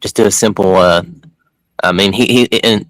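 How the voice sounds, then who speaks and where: A fairly low-voiced man hosts a podcast, casual and laid-back, often pausing and restarting mid-thought. The recording is dry and close. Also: fairly even intonation, dry recording, poor audio. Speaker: podcast host.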